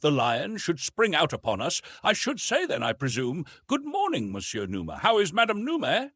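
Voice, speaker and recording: A man's voice: on the high side, huge pitch swings, moderate pace, a bit bright, breathy edge, dry recording, voice pushed up, heavily acted. A man voicing a proud young wild man of the jungle in an audiobook, with grave, formal wording. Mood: defiant, dry.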